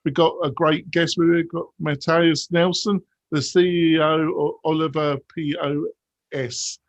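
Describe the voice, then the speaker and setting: A man's voice: fairly high pitch, flat delivery, measured pace, no room sound. Upbeat male podcast host, dry sound. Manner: upbeat, announcer-like. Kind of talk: podcast hosting and sponsor read.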